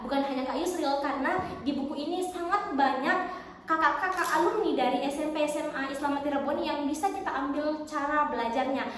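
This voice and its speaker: A high-voiced young woman explaining things as a guest on a school podcast, recorded in a long, ringing hall. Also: a little fast, a bit bright. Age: teens or young adult. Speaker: podcast guest, student book co-author. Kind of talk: explanatory interview answers. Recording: very echoey, reverberant hall.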